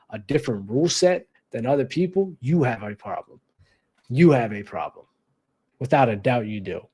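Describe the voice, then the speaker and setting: A man's voice: medium pitch, darkish tone. A young adult man hosting a basketball talk show, giving blunt casual opinion commentary with slang and lots of repetition for emphasis.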